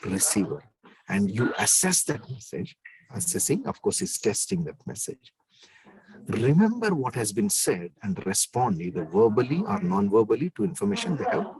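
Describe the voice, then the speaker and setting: A man with a deep bass voice, lecturing an online class in an emphatic way and repeating key phrases. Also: darkish tone, low-quality recording.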